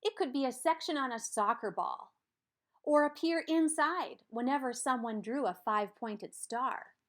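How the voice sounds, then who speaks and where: A woman reading a children's story aloud in an expressive, deliberate way, stressing key words. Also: high voice. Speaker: storybook reader.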